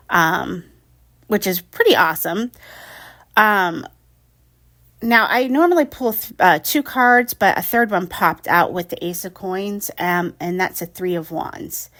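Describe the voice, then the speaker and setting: A woman's voice: fairly low pitch, a bit bright. A woman with the sniffles giving a casual tarot reading, blunt and emphatic, who keeps asking "okay?" and swears offhandedly. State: has the sniffles. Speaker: tarot reader.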